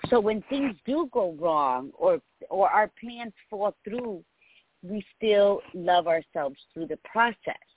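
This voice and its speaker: A woman's voice: mid-range voice, expressive, slow. An older woman co-hosting a radio talk show, speaking reflectively and reciting a poem. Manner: warm, reflective, quotes poetry. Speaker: co-host. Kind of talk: casual radio chat. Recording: dry, phone-like line.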